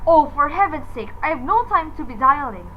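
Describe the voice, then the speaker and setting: A woman's voice: high voice, sing-song, a little fast, lo-fi sound, slight background noise. A woman playing a panicky, demanding, self-pitying invalid wife in a radio thriller, fretful and imperious. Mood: impatient. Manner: imperious, fretful, breathless pleading.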